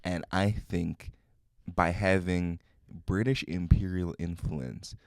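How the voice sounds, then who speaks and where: A young man with a very low, deep voice and crisp, deliberate enunciation. He speaks in measured, proper sentences in a relaxed podcast conversation. The sound is close and dry, with no room echo. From Minnesota, USA. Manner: formal, carefully enunciated, measured phrasing. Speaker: podcast guest, musician and producer. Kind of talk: casual podcast chat.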